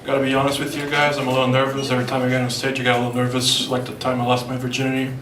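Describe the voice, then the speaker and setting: A young man from Brazil with a Brazilian Portuguese accent, telling deadpan one-liners in a stand-up set at a comedy club. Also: mid-range voice, very fast, heavy background noise. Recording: roomy club, far mic, loud crowd.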